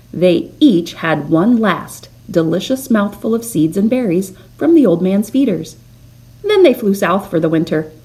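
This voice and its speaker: A woman narrates a children's story as a read-aloud host. Her delivery is slow and deliberate, and her pitch moves widely as she leans on key words for drama. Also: a little mellow. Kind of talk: expressive children's picture book narration.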